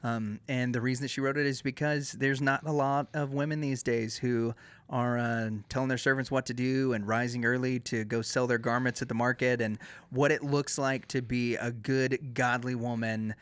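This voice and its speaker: A man's voice: medium pitch, little pitch movement, moderate pace, smooth. A man co-hosting a podcast in a casual, enthusiastic chatty style. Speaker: podcast co-host.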